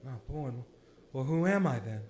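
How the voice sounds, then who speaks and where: A man's voice: medium pitch, a little slow, a little hiss or hum, close to an everyday voice. An actor playing a bewildered, desperate family man in a radio play, stammering, agitated and pleading. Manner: stammering, excitable.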